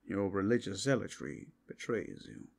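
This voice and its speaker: A man's voice: deep voice, sing-song, moderate pace, creaky, a little mellow, exaggerated voice. A man voicing a skeptical, guarded male werewolf who speaks tersely in a fantasy ASMR roleplay.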